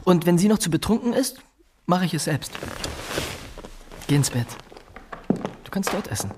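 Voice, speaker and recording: A man's voice: sits high, very animated, normal speed, a little mellow, slight background noise. An actor playing a reserved, patient young Englishman in a German radio drama, polite, dry and understated.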